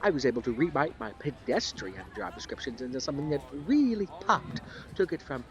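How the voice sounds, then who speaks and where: A man's voice: mid-range voice, very animated, fairly quick, natural voice. A man playing an eager, starry-eyed devotee of an organization, chatting earnestly and gushing in an audio drama. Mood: friendly, eager. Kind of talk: audio drama small talk.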